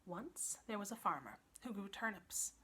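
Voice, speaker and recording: A woman librarian telling a children's story in an animated style, building drama with emphasis and repetition. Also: medium pitch, normal speed, slightly rough.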